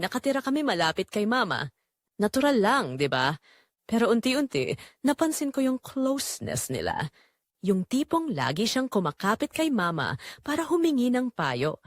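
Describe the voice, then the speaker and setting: A woman playing a betrayed young wife in an audio drama, narrating her heartbreak in earnest, measured, confessional phrases. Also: on the high side, big pitch swings, dry recording, clean studio sound. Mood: wounded, reflective. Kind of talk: scripted first-person dramatic narration.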